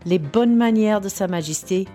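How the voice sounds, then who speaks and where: A woman's voice: medium pitch, moderate pace, warm tone, faint music behind. Chatty woman hosting a podcast. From Britain. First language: English. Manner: chatty and upbeat, laughs often. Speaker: podcast host. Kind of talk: hosted podcast interview.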